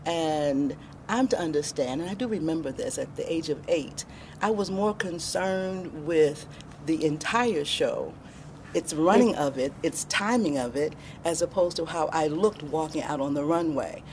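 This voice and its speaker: Woman in her 60s, American accent, slightly low pitch, expressive interview storytelling, background noise. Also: unhurried. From born in Alabama, raised in Chicago, USA. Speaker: interview guest, filmmaker.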